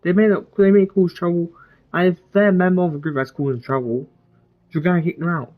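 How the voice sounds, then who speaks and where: A man's voice: high-pitched, fairly even intonation, brisk, narrow, tinny audio. A man giving casual, opinionated gameplay commentary as a game streamer. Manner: informal, opinionated. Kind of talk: casual gameplay commentary, reading some dialogue aloud.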